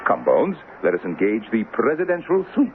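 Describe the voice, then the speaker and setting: An actor playing the Devil as a smug, suave, grandly self-assured swindler in an old-time radio drama. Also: male voice, medium pitch, huge pitch swings, moderate pace. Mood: lordly, commanding. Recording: noisy, tinny old radio broadcast recording.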